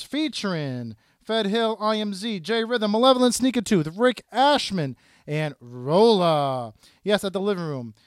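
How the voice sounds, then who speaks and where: A man hosting a show in a studio, eager and quick to say yes, often halting with fillers. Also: high-pitched, clear, resonant tone. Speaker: host. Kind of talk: radio-style show hosting and promo read.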